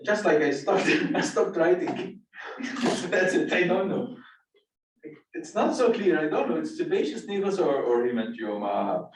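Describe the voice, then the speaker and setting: A student chatting casually, laughing and flustered while recounting exam questions. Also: male voice, a little high, lively intonation, a little slow, a little hiss or hum. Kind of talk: casual chat. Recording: echoey room, far from mic.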